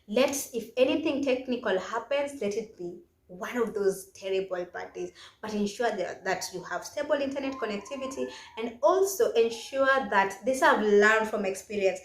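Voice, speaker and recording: A woman's voice: medium pitch, lively intonation, moderate pace, few pauses, slight background noise. Kenyan woman vlogger in an echoey room. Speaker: career advice video host. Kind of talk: casual instructional vlog talk. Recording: echoey, roomy sound.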